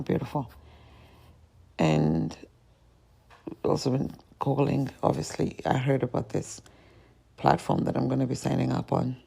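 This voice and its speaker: A woman's voice: extremely low pitch, gravelly, mellow, breathy edge. A woman hosting her own podcast, talking alone in a rambling, self-questioning way and sometimes trailing off into a whisper. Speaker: podcast host. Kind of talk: solo confessional chat.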